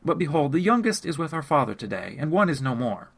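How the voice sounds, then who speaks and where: A man's voice: fairly high pitch, very animated, vocal fry, fairly bright tone, breathy edge, heavily acted. A man reading the lines of a group of brothers who plead their honesty and confess their guilt. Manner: slow, earnest pleading. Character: frightened guilty band of brothers.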